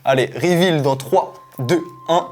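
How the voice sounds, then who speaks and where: A man's voice: a little high, measured pace, over music. A man hosting a street guessing game, talking in casual slang and laughing often. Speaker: host. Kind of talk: casual street quiz chat.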